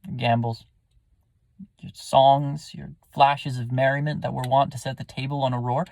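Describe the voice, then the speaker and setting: A man's voice: mid-range voice, flat delivery, moderate pace, gravelly, a little mellow, no echo, lightly acted. A man playing a melancholy, bitterly wry prince, musing theatrically in a Shakespearean stage speech. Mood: bitter mockery.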